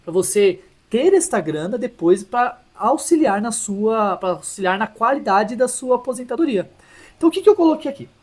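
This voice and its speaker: A man's voice: extremely high pitch, very animated, moderate pace. A man hosting a personal finance channel, explaining money goals casually and often checking in with "tá?". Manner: chatty, with frequent "tá?" check-ins and self-restarts. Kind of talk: casual explainer over a shared screen.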